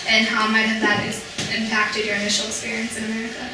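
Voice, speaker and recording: A woman with a fairly high voice asks short, measured questions. She sounds distant from the mic. A strong room echo hangs on her words. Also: monotone. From Ethiopia. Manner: brief, measured questions and backchannels. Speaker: interviewer. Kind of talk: interview questions. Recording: echoey room, voice from far off.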